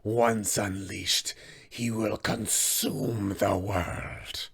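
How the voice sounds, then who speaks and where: A menacing serpent-folk sorcerer, grandiose and near death. The words come very slowly and drawn out, with the weight of a tabletop fantasy villain who expects to be obeyed. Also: male voice, fairly low pitch, fairly even intonation, creaky, fairly bright tone, breathy edge, voice pushed down, heavily acted. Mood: weary, grave.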